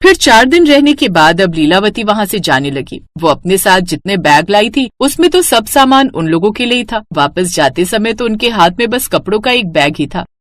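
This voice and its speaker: A woman's voice: mid-range voice, moderate pace, few pauses. A woman narrating a moral cartoon story for children and voicing its characters herself. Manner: lively, switches into character voices.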